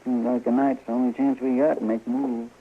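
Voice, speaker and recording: An actor playing a folksy, simple, loyal western deputy with a rural American accent and a gravelly voice in a radio drama. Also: male voice, mid-range voice, level tone, fairly quick, a little hiss or hum, lightly acted. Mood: uneasy, deferential. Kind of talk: old-time western radio drama acting. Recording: tinny, narrow old radio recording.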